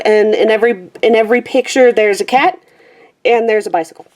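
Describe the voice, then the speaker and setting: A woman's voice: on the high side, a little mellow. A woman in a small room at home, chatting casually and rambling on camera, with a self-deprecating manner, trailing off with fillers and guessing at names as she goes. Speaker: vlogger showing her colouring book collection. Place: small room at home with a pet squeaking nearby.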